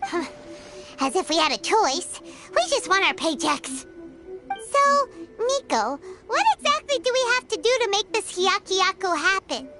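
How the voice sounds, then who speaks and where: Tiny female game companion, very high squeaky voice, wildly swooping, third-person whining, loud music behind. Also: big pitch swings, a little slow, heavily acted. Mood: grudging. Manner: talks of herself in third person, whiny complaints. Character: tiny floating female companion creature, blunt and sulky. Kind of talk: video game voice acting.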